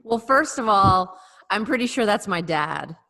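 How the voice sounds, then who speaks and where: A woman's voice: mid-range voice, expressive, unhurried, sharp tone. A woman in her forties co-hosting a casual video-call chat, making wry, sarcastic remarks. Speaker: co-host.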